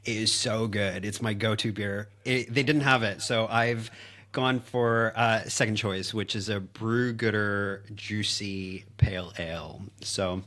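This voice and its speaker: A middle-aged Canadian man chats casually over a video call. His voice sits a touch low, and he thinks out loud with small hesitations and restarts. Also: fairly even intonation, measured pace. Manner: thoughtful, hesitant starts with ums and repeats. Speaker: podcast guest, operations consultant.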